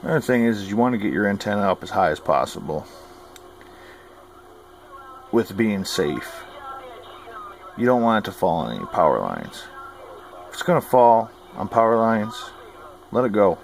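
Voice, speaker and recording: A man's voice: lowish voice, sing-song, moderate pace, gravelly, long pauses, a little hiss or hum. A man giving informal how-to advice as a radio hobbyist, folksy, plain-spoken and opinionated. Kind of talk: informal instructional talk.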